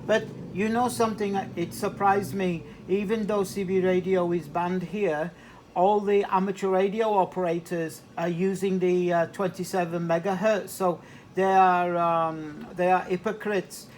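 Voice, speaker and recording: A man's voice: high voice, fairly even intonation, measured pace, a little mellow. Guy on ham radio, casual hesitant chat, repeats himself, bit of static. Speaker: amateur radio operator. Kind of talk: casual two-way radio chat with operator jargon. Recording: slightly noisy two-way radio transmission.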